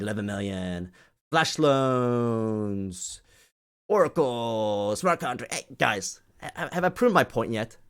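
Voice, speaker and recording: A man's voice: medium pitch, fairly bright tone. A man ranting off the cuff to an online audience as a community host, scolding and emphatic, often repeating phrases to drive them home.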